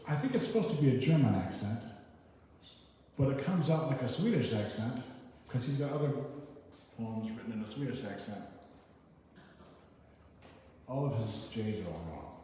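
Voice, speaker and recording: A man giving a live poetry reading, with informal, halting, self-deprecating commentary between poems. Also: mid-range voice, expressive, dark tone. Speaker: reader at a poetry event. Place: echoey hall at a live event. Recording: noisy, echoey hall, distant mic.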